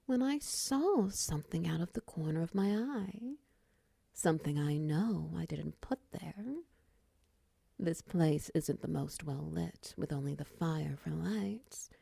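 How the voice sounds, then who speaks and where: A woman playing a friendly, flirty, lonely spider woman in an intimate comfort roleplay, murmuring softly and soothingly with teasing endearments. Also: on the low side, vocal fry, slightly rough, dry recording, exaggerated voice.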